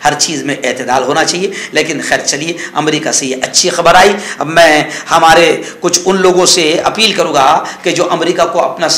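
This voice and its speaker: High, bright-voiced male cleric, echoey. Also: fairly even intonation, normal speed, hardly any pauses. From India. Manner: exhortative, scolding, conversational with rhetorical questions and repetition. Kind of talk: informal sermon-like news commentary.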